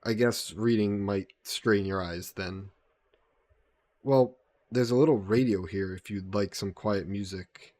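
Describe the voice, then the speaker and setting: A man playing a gentle, guilt-burdened vampire caretaker in an intimate audio roleplay, speaking politely and formally with some hesitation. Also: on the low side, measured pace, dry recording. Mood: gentle, reassuring. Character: gentle, guilt-burdened male vampire employer caring for a guest.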